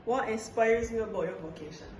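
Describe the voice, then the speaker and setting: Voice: mid-range voice, fairly quick. Interviewer asking short questions in a roomy space. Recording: roomy recording with faint background noise.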